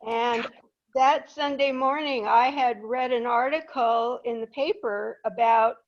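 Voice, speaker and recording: An older woman from Peru tells stories to a live audience in an unhurried way, with a slightly high voice. She is chatty and self-deprecating. The recording is dull and lo-fi. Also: fairly even intonation. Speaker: guest speaker. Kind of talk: anecdotal storytelling to a live audience.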